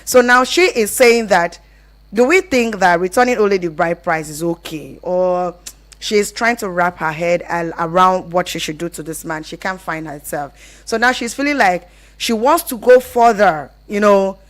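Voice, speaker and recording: A woman's voice: on the low side, normal speed. A woman with a Nigerian accent presenting a live radio advice call-in show, explaining things conversationally and often rephrasing as she goes.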